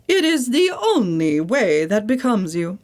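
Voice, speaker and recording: A woman's voice: mid-range voice, sing-song, unhurried, a little mellow. A woman voicing a domineering older aunt in a dramatic audiobook, over-enunciating everything with pompous weight. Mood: pompous, bossy. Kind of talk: dramatic audiobook character acting.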